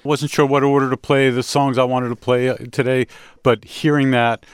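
A man hosting a music show in casual conversation, speaking in a reflective, halting way with pauses mid-sentence. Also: mid-range voice, little pitch movement, clear, resonant tone, dry recording, studio quality. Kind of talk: casual radio music discussion. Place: dry studio.

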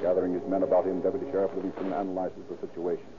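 Low-voiced male vintage radio narrator, tinny. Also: level tone, very fast, noisy background. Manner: formal, dramatic. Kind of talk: scripted 1930s radio crime narration. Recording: tinny old radio recording. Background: music.

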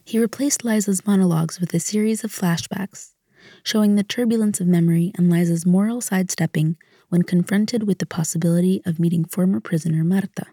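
Female narrator, husky and dry-recorded, reading a factual documentary script. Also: on the low side, brisk, dark tone, dry recording.

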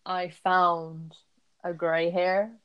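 A woman in her twenties chatting casually, an animated storyteller who laughs often. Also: on the low side, drawn-out words, smooth, dull audio. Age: 20s. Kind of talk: casual chat.

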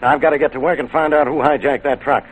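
An American man playing a gruff, blustery, easily exasperated police inspector in a 1940s-style radio detective drama. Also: a little high, steady tone, fast, natural voice. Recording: noisy old radio broadcast recording.

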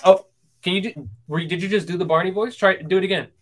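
Host, man in his twenties, a bit higher-pitched, hesitant, many fillers and restarts. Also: fast. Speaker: podcast host. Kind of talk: casual interview chat.

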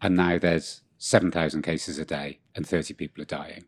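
Male co-host, very low voice, a bit sniffly, wry and self-mocking, dry close recording. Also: fairly even intonation, normal speed, husky, no echo. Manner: dry, self-deprecating humor. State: has a cold. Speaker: podcast co-host. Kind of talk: casual chat. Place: room with co-host far off.

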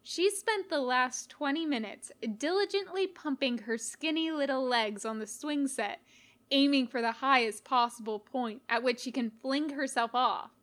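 A woman giving an expressive narration of a scripted story, as an audiobook-style reader. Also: high-pitched, moderate pace. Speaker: podfic reader.

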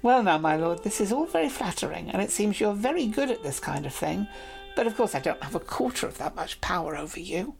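A woman voicing a proud, clever young noble lady who speaks sweetly and persuasively, with soft music behind her. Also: mid-range voice, sing-song, brisk, vocal fry. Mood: teasing, cautious.

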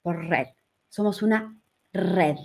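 A woman's voice: on the low side, slow. A woman with a Uruguayan accent teaching in a conversational live talk, repeating phrases for emphasis and often checking in with her listeners. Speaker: human design teacher.

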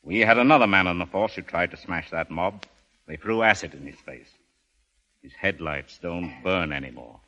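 An actor playing a weary, steady police chief in a 1930s radio drama, speaking in a measured, plain way. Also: male voice, low voice, highs cut off. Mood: sober, regretful. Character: weary, steady police boss confiding in his undercover man. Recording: old 1930s radio recording.

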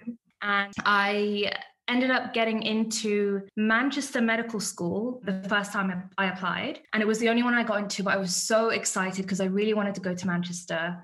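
A woman's voice: medium pitch, fairly even intonation, normal speed, a bit bright. A woman, telling her story as a podcast guest in a candid, chatty way. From born in Iraq, raised in London. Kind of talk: casual interview chat.

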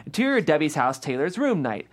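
A woman's voice: low-pitched, big pitch swings, a little fast. A woman hosting and reading aloud, as at a script table read. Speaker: host and script reader. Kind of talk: reading screenplay action aloud, then interviewing the writer.

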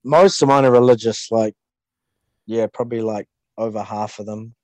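A man, a podcast guest chatting in a relaxed way and often ending sentences with "eh?". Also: on the low side, moderate pace, no room sound, low-quality recording. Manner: relaxed and easygoing, ends sentences with "eh?". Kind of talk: casual chat.

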